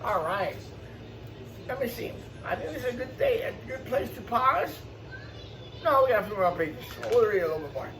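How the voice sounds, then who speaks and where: A man's voice: very high-pitched, wildly swooping pitch, normal speed, a bit bright. A man hosting storytime, reading a children's picture book aloud with exclamations and explanatory asides for young listeners. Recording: distant mic, faint background noise.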